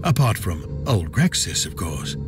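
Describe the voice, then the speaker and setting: Swaggering old starship captain, swooping delivery. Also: male voice, low-pitched, sing-song, a little slow, over music. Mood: earnest. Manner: jovial, theatrical, mock-courteous. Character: boisterous, hard-drinking, roguish old starship captain. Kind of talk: sci-fi video game voice acting.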